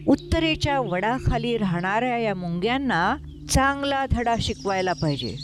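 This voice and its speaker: A woman's voice: a little high, lively intonation, normal speed, pitched above the performer's own voice, close to an everyday voice. A woman playing a haughty, commanding male elephant king in a told story. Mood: outraged, commanding.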